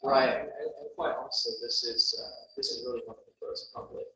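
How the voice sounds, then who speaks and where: Bright London man in echoey room. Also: mid-range voice, a little fast, creaky. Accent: London English. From London, England. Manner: hesitant, many restarts. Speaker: guest presenter. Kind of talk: answering audience questions at a meeting. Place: meeting room. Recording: echoey room, distant mic, faint hum.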